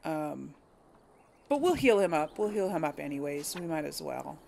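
A woman's voice: sits low, very animated, moderate pace, gravelly, darkish tone, slight background noise. A woman giving casual let's play commentary, chatting to viewers and musing aloud as she goes. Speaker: gaming video host. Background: video game ambience and effects.

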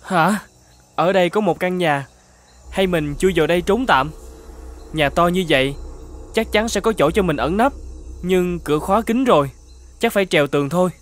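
A man performs a scrappy young vagrant from a cartoon folk tale. The voice is very high and youthful. He sounds naive and guileless, with open exclamations. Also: moderate pace, long pauses, natural voice. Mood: furtive.

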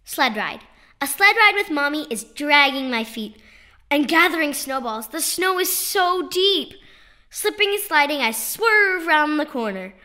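A woman reads children's poems in a notably high voice with a bright, forward tone. Her delivery is playful and sing-song, leaning on key words with clear emphasis, as in a storybook read-aloud. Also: sing-song, a little slow. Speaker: children's poetry author and reader.

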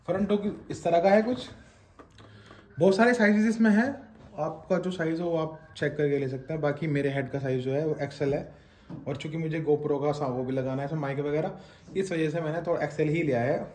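A man's voice: fairly high pitch, steady tone, brisk, darkish tone. A man in his thirties from India, casually unboxing and reviewing a helmet for viewers, mixing in English phrases. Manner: chatty, addresses viewers as guys and friends, mixes in English phrases. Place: small home room with shelves of trophies, indoors.

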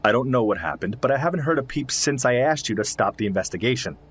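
A worried loyal scientist buddy in an audio drama, husky, voice moves around a lot. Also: male voice, mid-range voice, animated, fast. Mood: concerned. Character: concerned, loyal male zoologist friend of an investigator.

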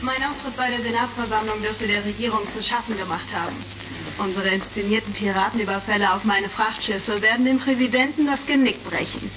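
An actress playing a scheming, power-hungry senator in a retro sci-fi radio play, speaking in a condescending, formal way. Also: female voice, mid-range voice, steady tone, a little fast, close to an everyday voice. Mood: smug, gloating. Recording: slightly distant, crackly vintage record.

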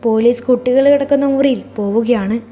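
Mellow, higher-voiced woman narrating horror. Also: a little fast. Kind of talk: scripted first-person storytelling.

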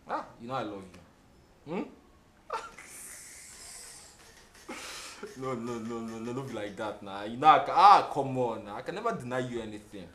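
An actor plays a callous Nigerian hustler of a boyfriend in a film drama. His voice is bright and forceful at an ordinary male pitch, emphatic and repetitive, over faint background noise. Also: lightly acted. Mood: sweet-talking, coaxing. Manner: emphatic, repeats himself. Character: brash, callous gold-digging boyfriend, a smooth-talking schemer. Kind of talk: film drama acting, mixing English and Nigerian Pidgin.

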